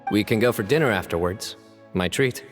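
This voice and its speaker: A man voicing a deep, terse, stoic young man. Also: a little fast, faint music behind, natural voice. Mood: calm. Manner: terse, flat, calm.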